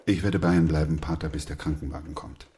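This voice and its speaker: Very deep, sober doctor-narrator. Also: male voice, fairly even intonation, fast, dark tone, slightly rough, heavily acted. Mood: grave, composed. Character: grave, composed male doctor narrating a plague chronicle. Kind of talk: literary radio play narration.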